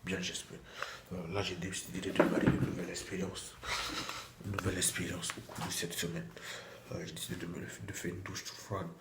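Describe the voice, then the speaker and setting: Low, flat-voiced man with a cold. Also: fairly quick, very noisy. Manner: chatty, repeats himself, many restarts. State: has a cold. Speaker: vlogger. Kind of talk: casual vlog to camera. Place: small bathroom at home. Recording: echoey room with faint ambience.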